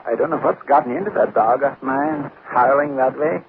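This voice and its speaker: Actor playing apologetic male neighbor, gravelly, polite rambling, 1940s radio drama, thin hissy recording. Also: medium pitch, normal speed, lightly acted. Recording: tinny, faintly noisy 1940s radio recording.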